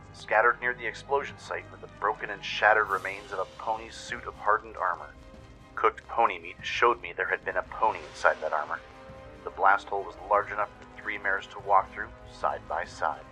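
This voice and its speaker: A man's voice: lowish voice, sharp tone, poor audio. A man narrating a radio play in a dramatic, scripted storytelling style. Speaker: narrator and producer. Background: music.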